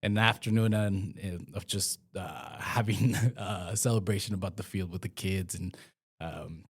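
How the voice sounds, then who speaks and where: A young man from Mexico with a fairly low voice, speaking in a relaxed interview. He talks haltingly, full of "uh"s and restarts. His pitch sits lower than most men's. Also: husky. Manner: hesitant, many fillers and restarts. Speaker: community health worker and former pro soccer player. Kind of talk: casual interview conversation.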